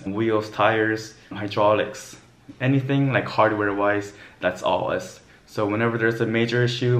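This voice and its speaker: A man in his thirties with a fairly low voice gives a casual explainer to camera. He is recorded in a small bare room, with clear echo around his words. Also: fairly bright tone. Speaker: vlogger, former Navy aviation mechanic.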